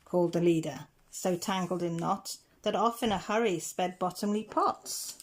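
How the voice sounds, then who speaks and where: A woman reading rhyming picture-book stories aloud in a measured, rhythmic way. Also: fairly low pitch. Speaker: children's story reader.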